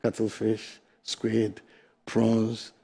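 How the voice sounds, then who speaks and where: A middle-aged Ghanaian man, president of a fisheries association, arguing his case forcefully and emphatically as a guest on a TV studio discussion. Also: lowish voice, monotone, extremely slow, vocal fry, slightly rough.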